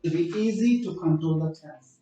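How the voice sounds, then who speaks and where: A Bangladeshi-accented man, Bengali his first language, explains grammar slowly and patiently to a class, checking in with questions. His voice sits at an ordinary middle pitch. The sound is very echoey, picked up from a distance, with faint music behind. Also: warm tone, muffled recording. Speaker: English teacher.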